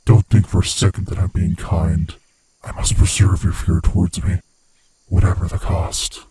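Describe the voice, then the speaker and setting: A man voicing a sinister, gloating monster who hunts human prey, with a husky, rasping voice and a slow, taunting manner, in a horror ASMR roleplay. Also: level tone, dark tone. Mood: smug, possessive. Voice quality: husky, breathy, rasping low voice. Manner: slow, menacing, theatrically taunting.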